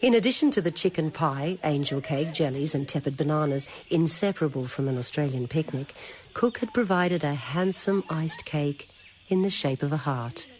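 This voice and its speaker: A woman narrating a dramatic scripted story in a deliberate, literary manner. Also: low-pitched, expressive. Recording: noisy old radio recording.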